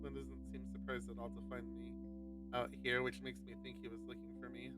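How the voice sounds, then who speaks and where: A man streaming a visual novel, reading it aloud and commenting casually and expressively, thinking out loud and swearing. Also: mid-range voice, monotone, a little fast. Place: live game stream. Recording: distant mic, music over voice.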